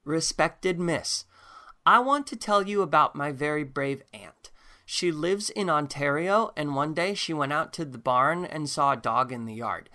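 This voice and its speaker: A man's voice: on the high side, measured pace, bright, forceful tone. A man playing an earnest, proud schoolboy who reads his letter in an audiobook. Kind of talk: audiobook character reading.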